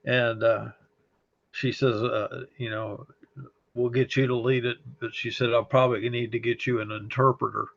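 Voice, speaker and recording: A middle-aged man with a slight Texas accent, a fire service chaplain recounting memories in an interview, unhurried and halting with frequent pauses and fillers. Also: medium pitch, level tone, gravelly. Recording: dull lo-fi audio.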